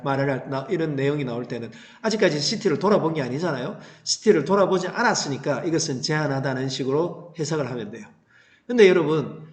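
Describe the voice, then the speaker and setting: A man teaching an English reading lesson, explaining line by line and putting questions to students that he answers himself. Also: fairly high pitch. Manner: didactic, asks rhetorical questions and answers them. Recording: roomy, echoing sound.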